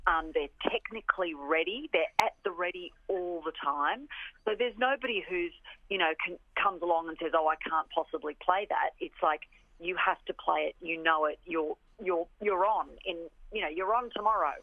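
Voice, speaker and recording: A woman's voice: on the low side, normal speed, no room sound. A woman speaking enthusiastically and emphatically as a radio interview guest, often repeating phrases for emphasis. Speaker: orchestra representative, interview guest. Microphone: telephone. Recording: tinny, band-limited phone line.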